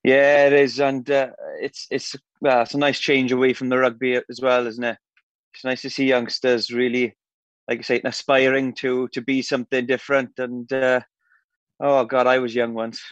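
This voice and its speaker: A man's voice: mid-range voice, little pitch movement, normal speed, no echo, dull audio. Hesitant Welsh man, co-host. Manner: hesitant, many restarts and fillers. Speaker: podcast co-host. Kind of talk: casual chat. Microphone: video call.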